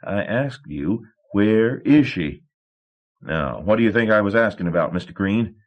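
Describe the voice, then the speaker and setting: A man voicing a gruff, hot-tempered old steamboat captain who bellows and blusters. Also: lowish voice, lively intonation, unhurried. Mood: angry.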